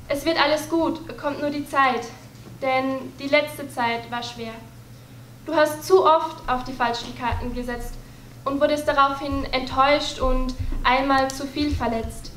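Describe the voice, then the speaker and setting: A teenage girl recites a rhyming poem at a poetry slam in an earnest, rhythmic way. Her voice sits high. The stand mic is a little far from her, so she sounds roomy and echoey in the hall. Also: a bit bright, slight background noise. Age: teens. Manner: earnest, rhythmic rhyming delivery, reading from paper. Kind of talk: spoken word poetry recital. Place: dark stage, indoors. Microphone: stand mic at some distance.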